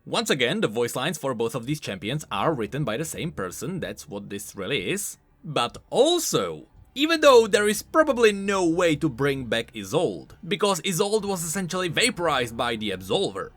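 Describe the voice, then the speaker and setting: A man hosting a game lore video essay, explaining in an expressive, informal and emphatic way, scripted but with casual asides. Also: fairly high pitch, normal speed, hardly any pauses, dry recording. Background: background music.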